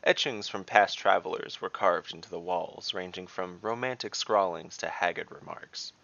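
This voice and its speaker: A man's voice: deep voice, normal speed, vocal fry, pushed and bright, lo-fi sound. A man narrating a scripted fantasy tale as dungeon master, with theatrical, descriptive storytelling.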